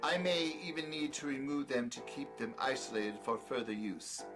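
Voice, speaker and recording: An actor playing a manic, cruel, grandiose doctor in a horror audio drama, ranting and sneering, swinging from outbursts to mutters. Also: male voice, mid-range voice, lively intonation, moderate pace, music in the background, natural voice. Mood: gloating, condescending.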